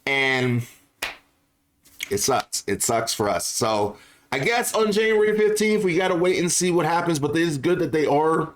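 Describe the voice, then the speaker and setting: A man's voice: on the high side, expressive, moderate pace, a little hiss or hum. A man giving casual, opinionated gaming commentary to viewers, speaking in an informal, slangy way and repeating phrases for emphasis. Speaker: gaming YouTuber.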